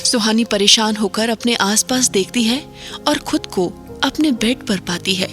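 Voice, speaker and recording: A woman in a middle register tells a horror audio drama in a steady, measured way and builds suspense line by line. Music plays beneath her voice throughout. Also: mid-range voice. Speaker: narrator. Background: background music.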